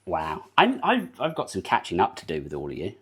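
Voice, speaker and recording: A man's voice: deep voice, wildly swooping pitch, brisk, noisy background. British male streamer, cozy rambling chat with chat, bouncy up-and-down delivery, frequent laughs, home kitchen. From United Kingdom. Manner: rambling, chatty, laughs often, answers chat. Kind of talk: casual live-stream chat. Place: home kitchen while baking.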